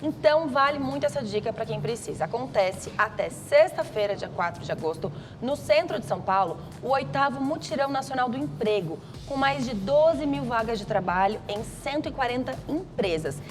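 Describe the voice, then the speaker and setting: A Brazilian woman delivers morning TV news in a conversational way. She talks fast in a slightly high voice, with music clearly playing behind her. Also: lively intonation. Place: television studio.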